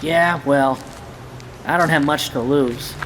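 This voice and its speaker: A man's voice: fairly high pitch, slow pace, a bit bright, a little hiss or hum, poor audio. A male actor playing an eager, earnest, wide-eyed orphan boy in an audio drama, with background ambience. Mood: curious.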